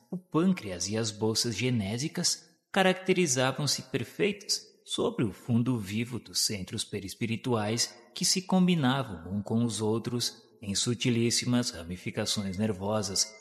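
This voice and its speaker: A Brazilian man narrates a radio drama in a low voice wrapped in long reverb. His delivery is formal and solemn, each literary phrase carefully enunciated. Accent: Brazilian Portuguese.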